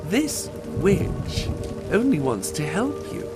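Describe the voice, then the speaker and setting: Game witch merchant: sly, coy, purring, slow, highly melodic delivery. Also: over music. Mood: sly, flattering. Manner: coy, purring, drawn-out, flattering. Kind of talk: theatrical video game acting.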